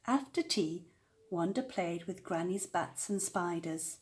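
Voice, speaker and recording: An older woman telling a children's story aloud with expressive narration. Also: fairly low pitch, takes it slowly. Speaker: storyteller, grandmother reading aloud.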